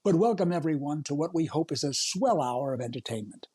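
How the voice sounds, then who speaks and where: A man's voice: fairly high pitch, expressive, a little fast, darkish tone, slightly rough. A man playing a polite, eager host of a 1930s radio variety show. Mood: polite, upbeat. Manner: courteous, upbeat.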